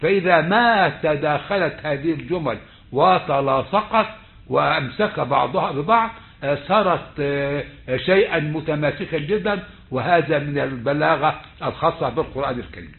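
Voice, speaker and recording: Egyptian lecturer over a tinny call line. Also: male voice, fairly high pitch, vocal fry. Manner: slow, deliberate, stretches words and restarts phrases. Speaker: university lecturer. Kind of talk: online lecture on rhetoric. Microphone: computer mic on a video call. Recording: tinny phone-like call audio.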